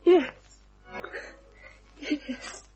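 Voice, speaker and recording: An actress playing a frightened, pleading young woman in an old-time radio mystery, breathy and husky, speaking haltingly. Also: warm tone, faint music behind. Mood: distraught, tearful.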